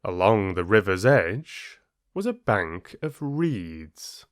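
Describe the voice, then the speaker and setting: A man working as an audiobook narrator, reading stories and addressing listeners with measured, deliberate phrasing. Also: fairly low pitch, very animated, no echo, clean studio sound. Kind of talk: expressive storybook reading and listener chat.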